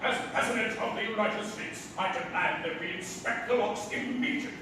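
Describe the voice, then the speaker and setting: A man's voice: high-pitched, brisk, lightly acted. An actor playing a deluded, blustering man who thinks he is the President, in exclamatory stage comedy style. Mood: excited, commanding. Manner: exclamatory, bombastic, commanding. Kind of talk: theatrical stage comedy acting. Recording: echoey theatre, far from mic, faint noise.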